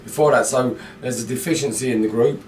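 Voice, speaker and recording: A man's voice: medium pitch, fairly even intonation, brisk, darkish tone, distant mic, a little hiss or hum. A middle-aged man, a football manager, giving a blunt, frustrated post-match interview full of fillers in a small indoor room. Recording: echoey room, mic set back.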